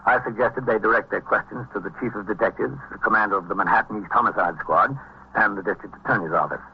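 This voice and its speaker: Guy acting methodical police captain, old radio drama, deep-ish n matter of fact, muffled w some hiss. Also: quick pace, natural voice. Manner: dry, matter-of-fact, businesslike. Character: calm, methodical male police precinct captain. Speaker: actor and narrator in a radio drama. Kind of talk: vintage police radio drama, clipped procedural delivery. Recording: dull, noisy vintage radio recording.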